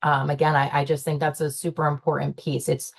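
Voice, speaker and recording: Young woman panelist, low voice, chatty with lots of fillers, casual panel talk. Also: little pitch movement, fairly quick, vocal fry. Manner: chatty, many fillers and restarts, laughs often. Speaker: panelist, owner's daughter in the business.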